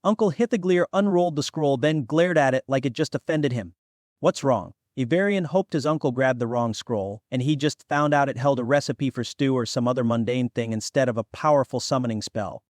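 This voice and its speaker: A man's voice: mid-range voice, brisk, hardly any pauses, a little mellow, no echo, clean studio sound. A man reading scripted fantasy fiction as an audiobook narrator.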